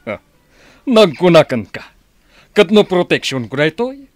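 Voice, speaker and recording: A man's voice: fairly high pitch, big pitch swings, normal speed, soft background music. A Filipino man playing an arrogant, defiant village tough in a radio drama. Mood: defiant, dismissive.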